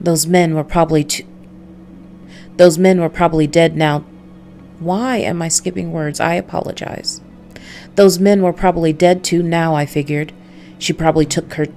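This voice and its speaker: Low-voiced woman streamer chatting casually. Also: creaky, a little mellow. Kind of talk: casual visual novel playthrough commentary. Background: faint music.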